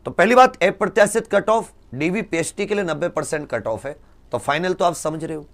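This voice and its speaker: A man's voice: fairly high pitch, lively intonation, fairly quick. A man, a coaching teacher addressing students directly, emphatic and exhorting, with rhetorical questions and repeated phrases.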